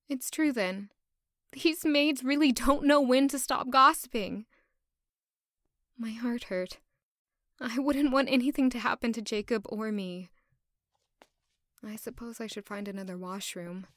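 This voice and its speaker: A woman playing a gentle, self-doubting young castle maid in an audio drama, speaking softly and earnestly. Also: on the high side, no echo, studio quality. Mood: hurt, worried. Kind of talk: audio drama acting with intimate first-person narration.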